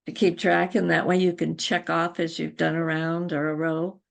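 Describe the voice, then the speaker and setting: Older female interview guest, lower-pitched and creaky, reminiscing in a casual conversational way. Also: little pitch movement, moderate pace. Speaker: interview guest, crochet guild founder.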